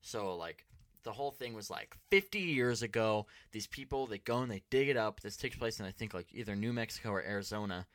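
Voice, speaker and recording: A man's voice: lowish voice, animated, brisk, fairly bright tone, dry recording, clean studio sound. A young man hosting a podcast, rambling through a story with lots of "like" and some swearing. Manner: profane, filler-heavy, lots of "like". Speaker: podcast host.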